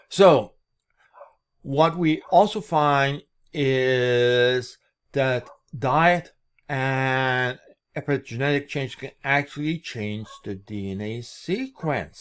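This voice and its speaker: A man presenting an informal explanatory talk, speaking haltingly in short phrases. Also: medium pitch.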